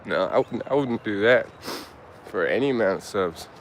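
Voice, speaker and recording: A young man from Turkey casually chatting with his live-stream audience while walking in the woods, relaxed and laid-back, answering comments aloud with halting restarts. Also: fairly low pitch, a little hiss or hum. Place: outdoors in woods.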